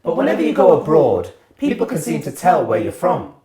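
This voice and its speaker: Lively, musing man hosting. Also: a little high, a little fast, crowd noise. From England. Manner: musing, questioning, breaks into laughs. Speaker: host. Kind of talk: scripted reflective talk to camera. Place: home kitchen.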